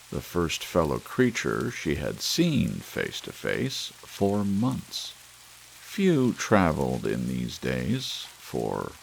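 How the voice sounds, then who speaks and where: A man reading an audiobook in an ASMR style, deliberately and with expressive phrasing, in a deep, gravelly voice. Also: a little hiss or hum, rough recording. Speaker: audiobook narrator. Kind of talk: scripted ASMR audiobook narration. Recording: faint rain sounds behind voice. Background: steady soft rain.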